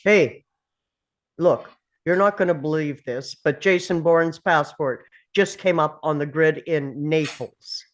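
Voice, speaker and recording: Woman playing a brisk, commanding CIA supervisor. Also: low voice. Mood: urgent, businesslike. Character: commanding middle-aged female CIA supervisor, urgent and brisk. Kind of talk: table read of a film script.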